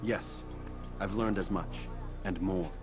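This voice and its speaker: Serious assassin hero from a game, cool and clipped, low-ish voice, music in the background. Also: male voice, fairly even intonation, slow. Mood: grave, earnest. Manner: terse, grave, controlled. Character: cool, resolute male assassin. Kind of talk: video game protagonist acting. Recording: dramatic music behind the voice.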